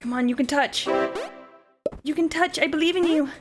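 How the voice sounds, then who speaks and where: A young woman with a deep, smoky voice, chatting and cursing casually while streaming a game. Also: moderate pace. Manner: crude, swears, laughs, sings along. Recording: faint music behind.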